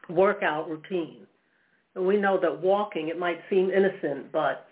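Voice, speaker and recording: Low-voiced woman on a phone line. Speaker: co-host. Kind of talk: talk radio.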